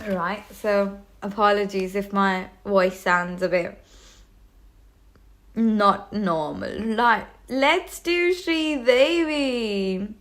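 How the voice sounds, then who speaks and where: A woman's voice: medium pitch, very slow, a bit bright. A woman hosting a live tarot reading, talking loosely with many fillers and restarts, a cold coming on. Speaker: tarot reader hosting a livestream.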